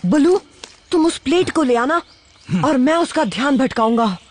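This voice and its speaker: A woman's voice: high voice, lively intonation, normal speed. A woman voicing a brave, clever young jungle boy in a cartoon, earnest and plucky. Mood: earnest, concerned. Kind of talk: Hindi cartoon voice acting. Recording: music behind the voice.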